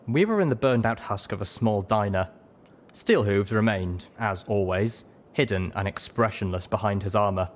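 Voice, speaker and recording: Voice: low-pitched, animated, normal speed, slight background noise. A narrator reading scripted first-person fiction as an audiobook.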